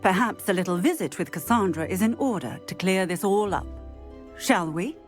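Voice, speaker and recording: A woman playing a composed female wizarding police officer in a video game, measured and formal in manner. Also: medium pitch, lively intonation, soft background music, natural voice. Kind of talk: video game character acting.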